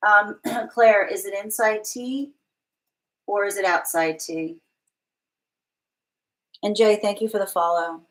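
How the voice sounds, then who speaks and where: A woman tarot reader hosting a live stream, with a voice sitting a little low for a woman. She muses aloud as she goes, reads viewers' comments back, and trips over and restarts her phrases while she gathers her thoughts. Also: low-quality recording.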